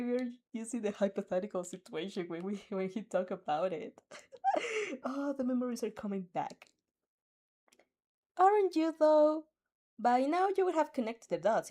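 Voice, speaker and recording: A woman's voice: mid-range voice, dark tone. Giggly young woman reading fanfic. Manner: giggly, breaks off to laugh and react; stumbles and restarts while reading. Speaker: fanfic reader and reactor. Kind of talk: reading fanfic aloud with reactions.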